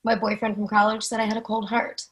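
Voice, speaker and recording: A woman's voice: medium pitch, completely flat delivery, fast, fairly bright tone, lightly acted. A woman in a script reading, playing an elegant, cold and defiant woman in her mid-forties. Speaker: actor in a screenwriters' readers theatre.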